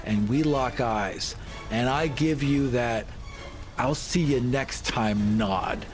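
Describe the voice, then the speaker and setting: Male tabletop player, mid-to-low voice, casual in-game narration over clear music. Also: slow.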